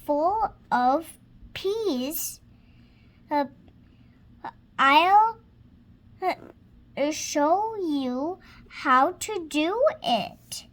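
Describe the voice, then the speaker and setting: High-voiced little girl reading very slowly. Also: animated. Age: child, about 6 years old. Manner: slow, word by word, stumbling and self-correcting. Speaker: young reader practising reading aloud. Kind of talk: halting read-aloud of a children's storybook.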